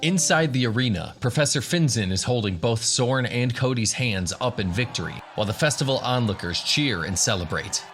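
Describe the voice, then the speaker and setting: A man's voice: mid-range voice, animated, brisk, few pauses, fairly bright tone, faint music behind. A man narrating a scripted fantasy adventure as the storyteller of an audio drama. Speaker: narrator, game master.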